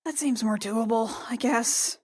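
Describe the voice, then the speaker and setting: A woman's voice: fairly high pitch, unhurried, darkish tone, husky, dry recording, clean studio sound. A woman playing a sarcastic, foul-mouthed reluctant wife, flustered and repeating herself, in a tabletop game. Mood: uneasy.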